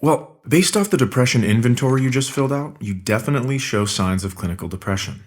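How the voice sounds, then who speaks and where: A man's voice: medium pitch, animated, fast talker, close to an everyday voice. A man playing a businesslike doctor in a naturalistic audio drama, clinical and matter-of-fact. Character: businesslike male doctor questioning a patient.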